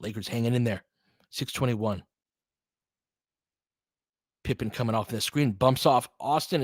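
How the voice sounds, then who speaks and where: A man's voice: mid-range voice, a bit bright, no room sound, clean studio sound. A man doing casual live basketball play-by-play and analysis, conversational and openly rooting for his team with exclamations on big plays.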